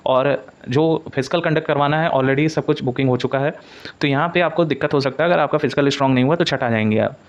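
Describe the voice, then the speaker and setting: A man's voice: mid-range voice, fast talker. A man giving an informal explanatory update as a coaching presenter, mixing in English words and repeating points for emphasis. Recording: dull, lo-fi audio.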